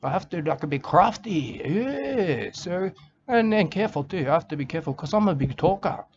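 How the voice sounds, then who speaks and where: A man's voice: a little high, very animated, moderate pace, a little hiss or hum. Rambling Australian man, lo-fi recording. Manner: rambling, repetitive, broken grammar, keeps saying "mate". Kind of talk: rambling comic monologue. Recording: dull lo-fi recording with faint ambience.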